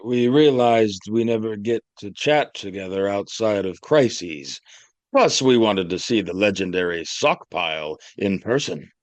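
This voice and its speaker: An actor playing a patient, kindly priest with dry, deadpan humor in an audio play. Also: male voice, lowish voice, a little slow, no room sound. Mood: dry, gentle.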